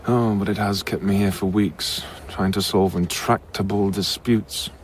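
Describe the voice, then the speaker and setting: An actor playing a courteous, sceptical and curious scholar-diplomat in an audio drama, articulate and conversational in debate. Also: male voice, on the low side, fairly even intonation, unhurried, a little hiss or hum, close to an everyday voice. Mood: weary.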